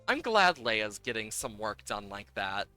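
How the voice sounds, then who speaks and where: Bright-voiced man streaming, animated and rambling. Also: on the low side, unhurried. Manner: rambling, enthusiastic. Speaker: game livestream host. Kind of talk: casual chat over gameplay, reading game dialogue aloud. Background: video game music.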